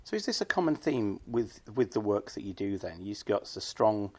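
A man hosts an arts podcast in a slightly low register. His voice is husky and a little rough-edged as he introduces and interviews. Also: very animated, moderate pace, a little mellow. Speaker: podcast host. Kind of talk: scripted narration and podcast interview.

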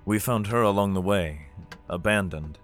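A man's voice: low-pitched, moderate pace, faint music behind, natural voice. A man playing a weary, protective middle-aged father in an audio drama, narrating his flight in a subdued manner. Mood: guarded, protective. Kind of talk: audio drama first-person narration and dialogue.

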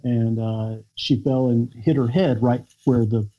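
An American man, a podcast guest, telling a personal family story in a halting, reflective way with many pauses and fillers. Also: medium pitch, fairly even intonation, highs cut off. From United States. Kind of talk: podcast interview conversation.

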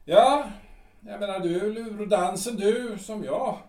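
A man's voice: sits high, a little mellow. Guy doing a sour old army captain, lots of hmms, sounds far off in a roomy space. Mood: grumbling. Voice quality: grumbling, hesitant with many ums. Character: bitter aging male artillery captain, mocking. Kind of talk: Swedish audio drama acting.

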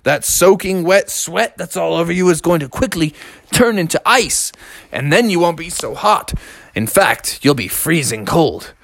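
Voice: medium pitch, lively intonation, a little slow, gravelly, fairly bright tone, no echo, full character voice. A hearty storybook father bear, acted by a storyteller, full of bluster and reassurance. He talks in folksy, old-fashioned exclamations. The sound is close and dry. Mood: grave, reassuring.

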